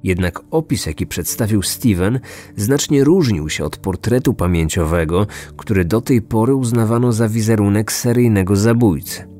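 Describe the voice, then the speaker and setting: A man's voice: on the low side, lively intonation, normal speed, dark tone. A man reading scripted true-crime narration as a podcast host. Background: music.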